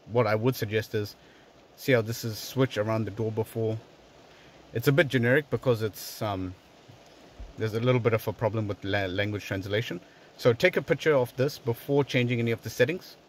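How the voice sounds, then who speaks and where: A man with a fairly low voice talks through a tutorial from inside a parked car. His delivery is casual and explanatory, moving step by step like someone showing a viewer what to do. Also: a little hiss or hum. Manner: explanatory, conversational. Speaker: video host giving a tutorial. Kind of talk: casual step-by-step how-to narration.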